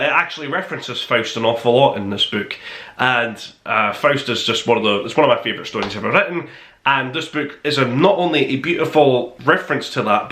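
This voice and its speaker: A man's voice: on the high side, sharp tone. A man hosting a book review, talking casually to camera in an emphatic, rambling way. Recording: roomy, echoey sound.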